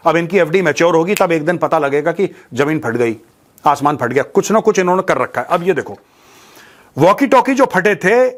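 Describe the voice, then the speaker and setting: An Indian man with a slightly high voice gives informal news commentary. He is blunt and mocking, talking colloquially to his viewers and slipping into Indian-accented English. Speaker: commentator and show host.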